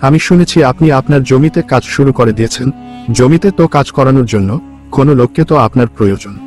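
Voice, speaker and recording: A man's voice: mid-range voice, animated, fast talker, darkish tone, faint music behind, natural voice. Poor village husband for a cartoon, played by a man: mid-range voice, meek and earnest. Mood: pleading. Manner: humble, earnest. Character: poor, weak, pleading husband seeking work. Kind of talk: cartoon story dialogue.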